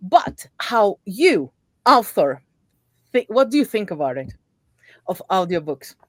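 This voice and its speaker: A woman hosting an interview, speaking English as a second language in a halting way, often repeating words as she searches for them. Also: medium pitch, sing-song, no echo. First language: not English. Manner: halting, repeats words, non-native phrasing. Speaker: interview host. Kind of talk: casual interview chat.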